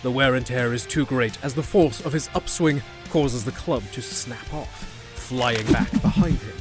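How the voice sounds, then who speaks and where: A man's voice: medium pitch, a little slow. A man delivering scripted narration for a fantasy audio drama. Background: music.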